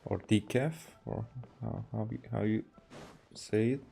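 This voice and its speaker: A man from Hungary with a Hungarian accent, casually streaming a game and talking haltingly with many fillers and restarts. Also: on the low side, steady tone, gravelly, dark tone, faint music behind. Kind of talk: casual livestream commentary, reading game dialogue aloud.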